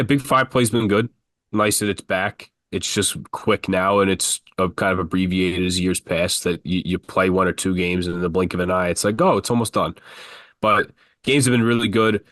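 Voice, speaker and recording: A man with a fairly low voice chats casually as co-host of a basketball podcast. He rambles and restarts his sentences as he goes. The sound is dry, with no room echo. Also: fairly bright tone. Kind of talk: casual sports talk.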